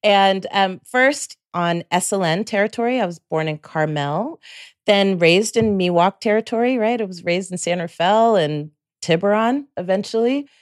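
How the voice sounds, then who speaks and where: A woman's voice: mid-range voice, lively intonation, normal speed, no echo, clean studio sound. A woman from the Bay Area hosting an interview, reflective and chatty, often tagging thoughts with "right?" and laughing lightly. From San Francisco Bay Area. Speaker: host. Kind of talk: conversational interview.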